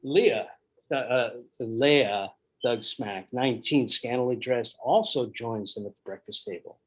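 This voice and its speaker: Brief: husky male narrator, mid pitch, plain stage-direction reads. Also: a little slow. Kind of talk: table read narration.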